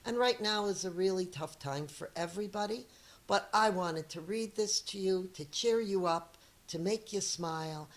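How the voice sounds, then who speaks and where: Slow-reading middle-aged woman, lower-pitched voice. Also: low-quality recording. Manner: deliberate, expressive storytelling to children. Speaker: elementary school teacher reading a story. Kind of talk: read-aloud of a children's picture book with chatty asides.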